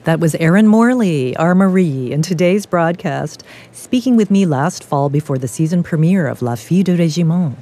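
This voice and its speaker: A woman hosting a radio opera broadcast, asking calm and measured interview questions. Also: lowish voice.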